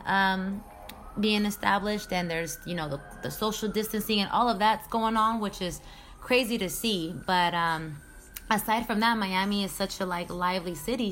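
A woman's voice: lowish voice, slight background noise. Chatty woman in her thirties vlogging. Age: 30s. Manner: candid and chatty, with casual swearing and slang. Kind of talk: casual personal vlog talk.

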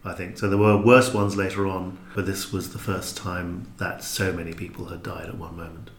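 A man's voice: low-pitched, level tone, moderate pace, a little hiss or hum. A man in a thoughtful interview conversation, explaining things reflectively. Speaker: podcast guest, drama maker. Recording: roomy, echoing indoor sound.

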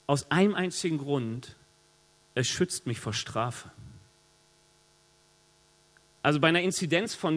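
A middle-aged man with a cold, preaching a sermon in a lively, conversational way with joking asides. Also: fairly high pitch. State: has a cold. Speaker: pastor. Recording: long hall reverb.

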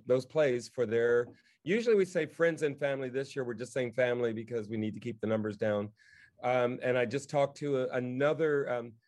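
A man chatting casually in a halting, wry way, as if thinking aloud while he talks. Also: mid-range voice, darkish tone. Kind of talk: casual chat. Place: remote video call.

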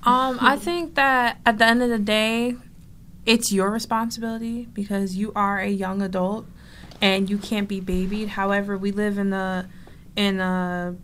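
A woman's voice: mid-range voice, little pitch movement, normal speed, fairly bright tone, slight background noise. A young woman co-hosting a casual discussion, talking her view through and weighing both sides. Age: young adult. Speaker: co-host and salon owner.

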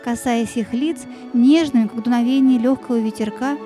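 A woman's voice: on the high side, moderate pace, over music. A woman narrator telling a fairy tale expressively in an audio play.

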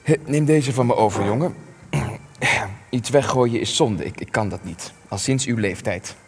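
An actor playing a frail old war veteran in a radio drama, wistful and bitter, musing hesitantly and stumbling over repeated words. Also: male voice, medium pitch, a little slow, long reverb tail, lightly acted. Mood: confused, hesitant. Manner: hesitant, rambling, musing, with stammered repetitions.

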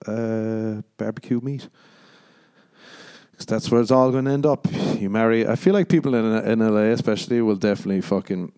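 A young Irish man hosting a podcast, rambling and swearing as he jokes, jumping into high squeaky voices for the punchlines. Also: mellow. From Ireland. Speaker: podcast host. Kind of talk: casual comedic monologue.

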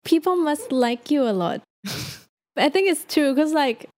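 A woman's voice: high voice, lively intonation, unhurried, a little mellow, no room sound, studio quality. A woman hosting a podcast, asking questions and encouraging her guest in a casual chat. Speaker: podcast host.

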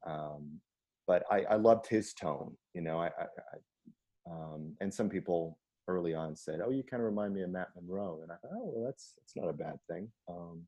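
A man with a low baritone voice, chatting casually about singing and his influences, with many ums and easy laughs. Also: animated, normal speed, mellow. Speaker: singer. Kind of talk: casual conversation.

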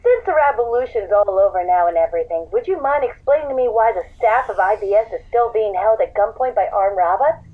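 A woman's voice: medium pitch, expressive, brisk, hardly any pauses. A woman playing an exasperated, sarcastic, scolding female boss in a radio play, heard through a phone filter. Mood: sarcastic, annoyed. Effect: radio or telephone filter. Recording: thin narrow phone-like line.

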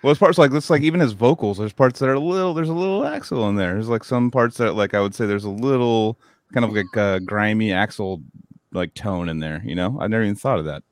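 An animated man in his thirties co-hosts a podcast and tells stories full of curses. His voice is a bit low. The recording is dry and close. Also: a little fast, no room sound. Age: 30s. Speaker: podcast host. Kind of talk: casual chat.

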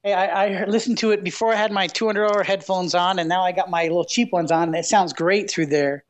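A man co-hosting a casual podcast, eager and quick, stumbling over his words. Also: high voice, fairly even intonation. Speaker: podcast co-host.

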